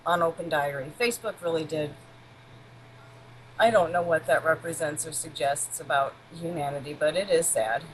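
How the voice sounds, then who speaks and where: Female guest, deep-pitched, thoughtful and conversational, recorded with slight noise behind her. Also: low-quality recording. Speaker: interview guest. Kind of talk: casual interview conversation.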